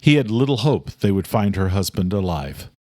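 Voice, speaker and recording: A man's voice: fairly low pitch, expressive, moderate pace, a little mellow, no room sound. Man narrating a dramatic thriller audiobook, dry sound.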